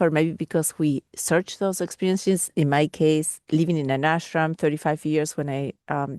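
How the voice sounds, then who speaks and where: Low, dry-sounding woman from Argentina. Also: a little mellow, no room sound. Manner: searching, meandering, with many restarts. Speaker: caller asking a question. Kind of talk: earnest live Q&A question.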